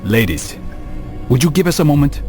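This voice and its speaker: An actor playing a determined medieval assassin in a video game, speaking in a measured, dry, commanding manner over music. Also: male voice, a little high, sing-song. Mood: cool, menacing. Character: calm, determined medieval male assassin hero. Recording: game dialogue under clear music.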